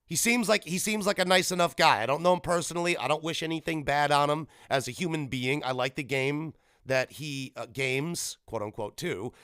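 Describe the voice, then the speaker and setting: A man hosting his own podcast in casual chat, animated and swinging up in pitch and loudness for emphasis. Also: moderate pace, clear, resonant tone, dry recording, studio quality. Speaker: podcast host.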